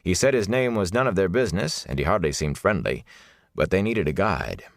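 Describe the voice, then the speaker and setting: A man's voice: low voice, animated, a little fast, creaky, dry recording, studio quality. A man reading fantasy fiction as a scripted, dramatic audiobook narration.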